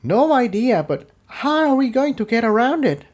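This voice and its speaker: Man voicing a subdued high-pitched female pony. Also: moderate pace. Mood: flat, concerned. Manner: flat, plain-spoken. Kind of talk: character voice in story reading.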